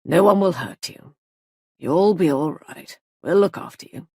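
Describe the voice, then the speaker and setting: A woman's voice: lowish voice, a little slow, dark tone, slightly rough, no echo, clean studio sound. A woman voicing a middle-aged male police inspector who speaks in short, explosive sentences. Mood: brisk.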